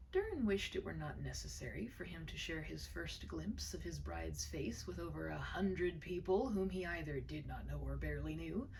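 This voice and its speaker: A woman reading a story aloud in an expressive, dramatic way, tender and hushed, with pauses for effect. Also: lowish voice, gravelly, recorded from a distance, a little hiss or hum, rough recording. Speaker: storyteller reading her own short story.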